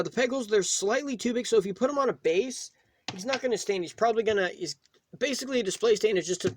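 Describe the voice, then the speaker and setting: A man hosting a casual, unscripted toy review, talking in a rambling, halting way and often rephrasing himself. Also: high voice, a little hiss or hum. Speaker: toy reviewer, video host.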